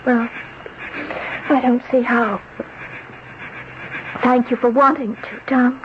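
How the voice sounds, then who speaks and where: A woman's voice: a little high, level tone, slow pace. An actress playing a proud, wounded young woman in an old-time radio drama. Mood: softening, wistful. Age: young adult. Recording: dull, noisy old radio recording.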